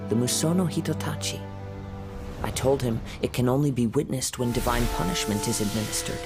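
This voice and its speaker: An actor playing a calm young wandering samurai in a game, measured and poetic in his delivery. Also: medium pitch, lightly acted. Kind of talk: video game cutscene dialogue. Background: game music.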